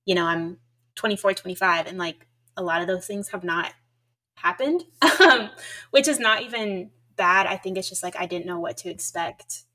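A woman's voice: fairly low pitch, moderate pace, bright, forceful tone. A woman in her twenties co-hosting a podcast, telling stories in a chatty way full of fillers. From United States. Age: 20s. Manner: chatty, filler-heavy storytelling. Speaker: podcast co-host. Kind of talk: casual chat.

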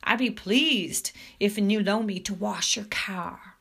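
A woman's voice: medium pitch, slow, gravelly, fairly bright tone, slightly rough, heavily acted. A woman voicing a muscular, swaggering teenage male bully with a taunting, jeering manner in a storybook reading. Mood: jeering imitation.

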